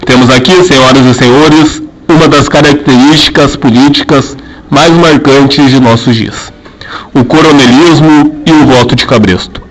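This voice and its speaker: A man narrating a radio drama in a formal, measured storytelling manner. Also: mid-range voice, poor audio.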